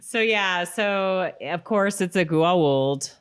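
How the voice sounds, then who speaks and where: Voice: mid-range voice, slow, fairly bright tone, clean tone. A podcast co-host chatting casually and reading recap passages aloud in a conversational way.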